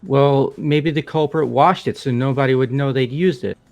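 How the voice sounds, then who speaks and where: A man's voice: mid-range voice, moderate pace, darkish tone, lightly acted. A man voicing a casual, plain-spoken young male student in fan-made visual novel game dialogue. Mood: conceding. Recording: music behind the voice.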